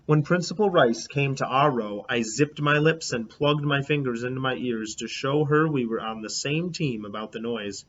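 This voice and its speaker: A man reading a children's storybook aloud as a teacher, in an expressive, animated, emphatic way. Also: medium pitch, lo-fi sound, a little hiss or hum. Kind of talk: expressive storybook read-aloud in a child narrator's first person.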